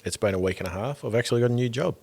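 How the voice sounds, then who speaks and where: A tired Australian man with a hoarse voice, hosting a casual podcast chat with upbeat banter. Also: medium pitch, very fast, a little mellow. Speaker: podcast host.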